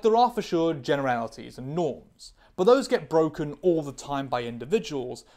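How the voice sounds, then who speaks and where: A woman's voice: sits low, a little mellow. A woman reading her own scripted video essay, candid, opinionated and analytical. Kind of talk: scripted commentary.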